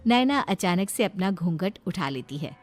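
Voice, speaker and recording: A woman's voice: fairly low pitch, expressive, normal speed, faint music behind. A woman narrating a cartoon story in a plain storytelling manner.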